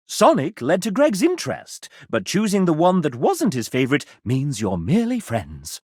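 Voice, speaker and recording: A man voicing a sassy, arch gentleman who narrates a dating video game in a theatrical, mock-genteel and sardonic manner. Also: a little high, huge pitch swings, moderate pace, breathy edge, no room sound, clean studio sound, full character voice. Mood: arch, playful.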